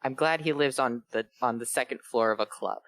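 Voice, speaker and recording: A woman's voice: a bass voice, fairly quick, no room sound. A woman reading fan fiction aloud and riffing on it casually with friends. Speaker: fan fiction reader and commentator.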